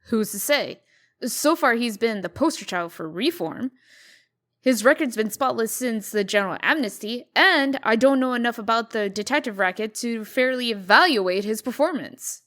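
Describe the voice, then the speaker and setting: A woman's voice: on the high side, animated, normal speed, a bit bright. A woman voicing a poised, formal, businesslike deputy to a mayor, precise and dryly skeptical, in a comic dub. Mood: measured, skeptical. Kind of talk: comic dub character acting.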